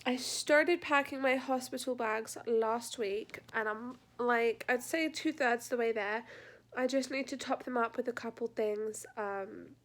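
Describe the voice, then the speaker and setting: A woman's voice: on the high side, unhurried. A young woman chatting informally in a casual personal vlog, sounding congested with a blocked nose and a sore throat. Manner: chatty, informal, laughs lightly at her own complaints. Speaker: vlogger. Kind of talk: casual pregnancy update vlog.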